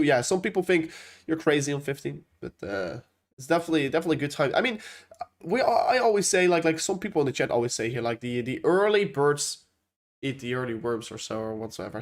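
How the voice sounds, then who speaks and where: A young man from the Netherlands with a Dutch accent, hosting a casual crypto livestream and rambling through viewer questions with many fillers. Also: mid-range voice.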